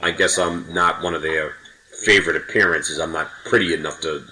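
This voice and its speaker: A man's voice: a bass voice, fairly even intonation, fairly quick, a loud crowd, rough recording. A man co-hosting a podcast, recalling things casually in a hesitant, rambling way. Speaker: podcast co-host. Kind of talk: casual conversational recollection.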